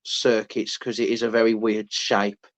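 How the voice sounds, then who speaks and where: Flat-voiced rambling man. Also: on the low side. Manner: rambling, many restarts, unhurried. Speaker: podcast co-host. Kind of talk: casual chat.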